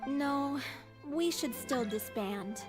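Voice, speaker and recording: An actress playing a frail, modest young performer in a video game, earnest and resigned. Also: female voice, high voice, animated, slow. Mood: weary. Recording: music behind the voice.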